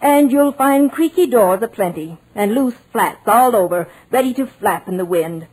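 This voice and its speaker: Husky, fussy housekeeper. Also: female voice, on the high side, expressive, moderate pace, darkish tone, low-quality recording. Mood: fussy, foreboding. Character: fussy, superstitious little village housekeeper, talkative and deferential. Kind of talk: 1940s radio drama acting.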